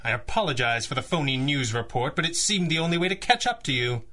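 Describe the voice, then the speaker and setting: An actor playing a composed, wry masked hero in a 1930s-style radio drama, speaking in a formal, theatrical manner. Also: male voice, mid-range voice, expressive, fast, sharp tone. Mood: smug, wry.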